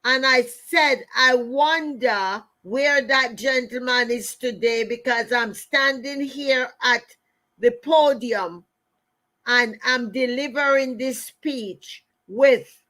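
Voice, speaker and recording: This is a middle-aged Jamaican woman speaking as a motivational host. Her voice sits fairly high for a woman and she takes her time, at a markedly slow pace. She tells personal stories and drives points home emphatically, repeating key phrases as she addresses listeners directly. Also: sharp tone. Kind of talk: motivational talk with personal storytelling.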